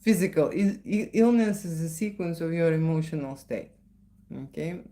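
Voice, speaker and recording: A woman's voice: lowish voice, lively intonation, moderate pace, mellow. A middle-aged woman with a Lithuanian accent, a photographer chatting in a live question session and often tagging her sentences with "okay?" and "right?". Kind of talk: live Q&A chat.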